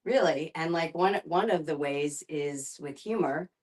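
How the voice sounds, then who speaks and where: A woman facilitating a group discussion, speaking conversationally and reflectively, often pausing to find her words. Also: sits low, darkish tone. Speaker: guest facilitator, end-of-life doula and filmmaker.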